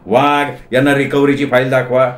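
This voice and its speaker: A man's voice: medium pitch, level tone, normal speed, roomy sound, natural voice. A man playing an irritable, blustering boss and husband in a comic story, cranky and imperious. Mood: commanding.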